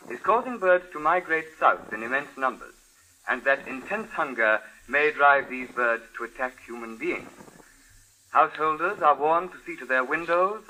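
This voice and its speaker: An actor playing a formal, grave news announcer with clipped official delivery in a 1950s radio drama. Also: male voice, mid-range voice, bright, forceful tone, poor audio. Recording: thin old radio recording, faint noise.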